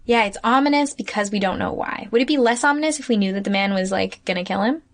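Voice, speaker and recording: A woman's voice: mid-range voice, fast. A woman co-hosting a podcast, talking through ideas in long, winding, reflective thoughts. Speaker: podcast co-host. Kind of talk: casual chat.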